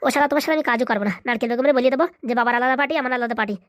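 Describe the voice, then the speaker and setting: A woman's voice: on the high side, steady tone, rapid-fire, dry recording, clean studio sound, lightly acted. A woman voicing a cheeky, quarrelsome young male goon in a cartoon. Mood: bickering. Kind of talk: cartoon voice acting.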